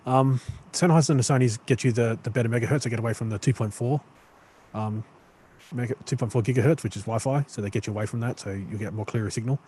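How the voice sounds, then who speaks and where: A man on a tech panel giving quick practical gear advice with a few fillers. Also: medium pitch, mellow, breathy edge. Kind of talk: casual expert advice.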